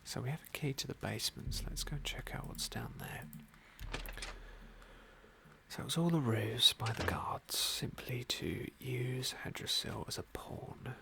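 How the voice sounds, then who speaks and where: A man softly narrating a fantasy game as an ASMR roleplay, unhurried and with formal, genteel phrasing. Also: medium pitch, creaky, noisy background. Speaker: host of an ASMR game playthrough.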